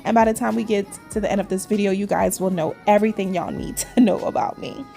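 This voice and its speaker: A chatty woman in her forties with a Trinidadian accent blended with New York, talking to viewers as she works on nails. She keeps up a loose, friendly flow and calls her audience y'all. Soft music plays faintly behind her. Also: mid-range voice, quick pace, vocal fry. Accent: Trinidadian mixed with New York. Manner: chatty, addresses viewers as cuties, says y'all. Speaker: nail vlogger. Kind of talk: casual chat while doing nails.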